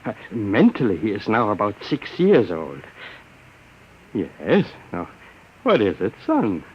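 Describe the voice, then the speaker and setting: An actor playing a nervous scientist with a husky voice who stammers and flusters in a 1950s radio drama. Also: male voice, fairly low pitch, huge pitch swings, takes it slowly, slight background noise, exaggerated voice. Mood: anxious. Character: nervous older male scientist. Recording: muffled old radio broadcast.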